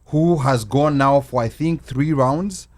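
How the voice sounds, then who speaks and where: Male host, medium pitch, lively and repetitive in casual political chat. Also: takes it slowly, noisy background. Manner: animated, repeats words when making a point. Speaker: show host. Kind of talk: casual panel talk.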